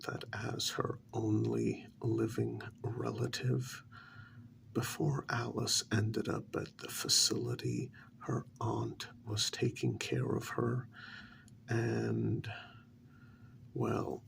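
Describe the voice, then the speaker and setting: Man playing a gravelly, soft-spoken, deferential agent. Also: lowish voice, a little hiss or hum, full character voice. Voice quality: breathy, half-whispered, gravelly. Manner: measured, halting, deferential. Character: deferential facility agent reporting to his superior on a runaway. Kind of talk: soft-spoken ASMR role-play.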